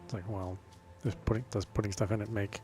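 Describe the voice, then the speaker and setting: A man with a fairly low voice comments casually on a video game as he plays. He rambles and thinks aloud in a wry way, with clearly audible music behind him. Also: little pitch movement, fast talker, a little mellow. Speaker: gaming streamer. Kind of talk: casual let's play commentary.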